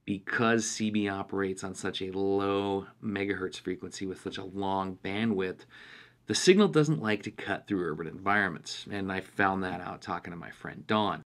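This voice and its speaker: A man talking casually through a product review in a blunt, opinionated way. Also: low voice. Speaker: radio gear reviewer.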